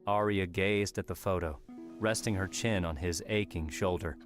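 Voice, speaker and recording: A man with a very low-pitched voice narrates an audiobook. He reads scripted story prose, and quiet music plays underneath. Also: moderate pace.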